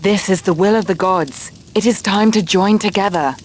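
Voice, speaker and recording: A woman playing a calm, devout heroine who speaks with composed reverence in a video game cutscene. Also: mid-range voice, normal speed, a little hiss or hum, natural voice. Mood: calm, reverent.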